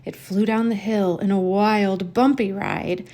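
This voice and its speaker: Slow-paced woman reading a children's storybook. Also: mid-range voice, steady tone, dark tone. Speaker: storybook reader and host. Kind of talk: children's picture book read-aloud, rhyming narration with chatty asides.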